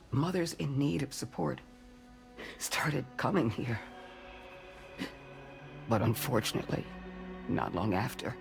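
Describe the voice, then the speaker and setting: An actor playing a weary, grieving doctor in a video game drama, speaking in halting, measured phrases. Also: male voice, mid-range voice, vocal fry. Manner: halting, measured delivery in short broken phrases. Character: grieving, weary doctor who delivers babies, pleading for refugee mothers.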